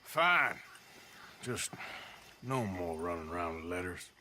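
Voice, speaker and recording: Blunt drawling outlaw, bright tone, voice swings around, music under it. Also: male voice, low voice, sing-song, slow, a little hiss or hum, full character voice. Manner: laconic, drawling, sardonic. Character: gruff weary male outlaw, dry and blunt. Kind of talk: video game cutscene acting.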